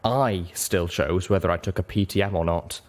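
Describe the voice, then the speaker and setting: Deep-voiced man narrating a story. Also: normal speed. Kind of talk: expressive audiobook narration.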